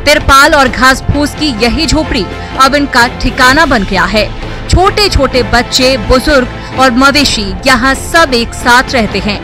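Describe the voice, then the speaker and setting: High-voiced woman reading news. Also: measured pace, music in the background. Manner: formal, steady news read. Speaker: news anchor. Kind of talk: scripted news narration.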